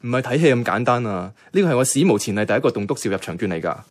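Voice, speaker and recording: An actor playing a self-effacing young bank worker in a radio drama, speaking casual, colloquial Hong Kong Cantonese. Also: male voice, mid-range voice, lively intonation, rapid-fire, lightly acted. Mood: playful, earnest. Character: soft-hearted, self-effacing young male bank worker, secretly devoted. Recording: music playing behind the voice.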